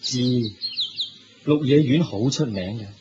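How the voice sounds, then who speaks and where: Guy playing a calm kindly buddhist sage, soft dark tone, hong kong cantonese radio drama, lo-fi with faint noise. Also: mid-range voice, unhurried, lightly acted. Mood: kindly, serene.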